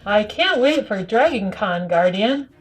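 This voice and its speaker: A woman's voice: medium pitch, expressive, a little slow, soft background music. A woman voicing a cheerful helper robot through a toy voice-modulator filter, speaking in clipped, announcing set phrases. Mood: eager. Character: cheerful helper robot sweeper addressing a guardian.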